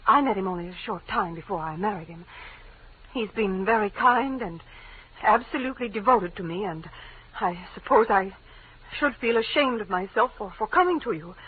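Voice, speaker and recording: An actress playing a refined, troubled young wife with a genteel, halting delivery in 1940s radio drama style. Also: female voice, mid-range voice, lively intonation, close to an everyday voice. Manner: genteel, halting, breaking off mid-sentence. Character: refined, troubled, good-looking young wife seeking help. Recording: narrow-band, noisy 1940s radio recording.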